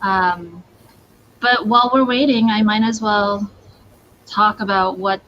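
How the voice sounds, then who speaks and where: Slow-paced woman on a muffled stream. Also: medium pitch, fairly even intonation, a bit bright. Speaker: performer. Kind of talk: casual tutorial introduction. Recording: muffled, faintly noisy online stream.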